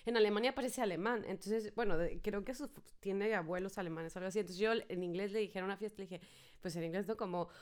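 Smooth-voiced woman co-host. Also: mid-range voice, fast. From Mexico. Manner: lively anecdote telling, fillers. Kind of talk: casual chat.

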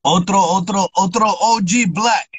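A man hosting a casual podcast interview asks his questions in a halting way, repeating words as he searches. His voice is high for a man and he speaks slowly. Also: a little hiss or hum. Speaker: podcast host.

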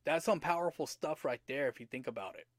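A man hosting a motivational podcast, his voice a little hoarse from a cold, earnest and repeating rhetorical questions to the listener. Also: a little high, fast, no room sound, studio quality. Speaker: podcast host.

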